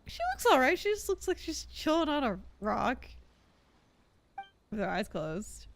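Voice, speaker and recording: A woman's voice: sits high, big pitch swings, measured pace, a little hiss or hum. A young woman chatting casually and informally as she streams a video game, rambling and giggly. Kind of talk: casual livestream chat and game reactions.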